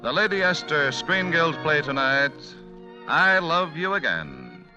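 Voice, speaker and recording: A man's voice: on the high side, big pitch swings, unhurried. A man announcing and narrating a comedy in the scripted style of 1940s radio. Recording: dull, lo-fi vintage radio recording. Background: music.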